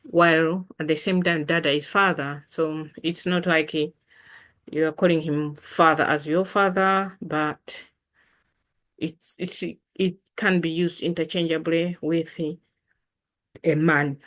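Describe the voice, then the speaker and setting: Female language teacher, Malawian accent, slightly low pitch, unhurried delivery, informal lesson with deliberate repetition. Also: steady tone, a bit bright. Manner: repeats words deliberately for learners.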